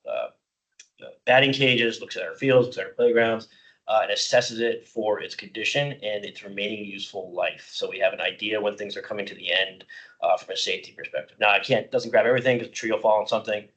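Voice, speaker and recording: A middle-aged man, slightly hoarse, presenting a parks budget plan at a public commission meeting, explaining informally with many "uh" fillers. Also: lowish voice, quick pace, a bit bright, low-quality recording. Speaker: county parks department staff presenter.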